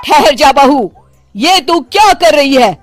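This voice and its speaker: A woman voicing a stern, older retired policewoman mother-in-law who barks commands in an animated story. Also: high voice, soft background music. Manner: barking drawn-out commands, strict. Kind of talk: animated moral story voice acting.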